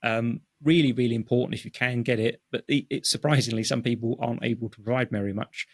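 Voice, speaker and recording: A man speaking English as his first language, a guest explaining his writing process in a relaxed interview, with many fillers and restarts. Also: mid-range voice, fast, no echo, clean studio sound. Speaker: guest, technical writer. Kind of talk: conversational interview. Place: home office.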